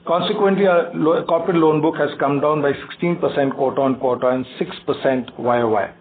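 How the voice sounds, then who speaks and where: Indian guy on a tinny phone conference call reading out bank results, kinda high voice, stumbles and repeats himself. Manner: halting, stumbles and repeats words. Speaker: bank executive on an earnings call. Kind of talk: prepared financial briefing read aloud. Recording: tinny phone conference line, faint noise.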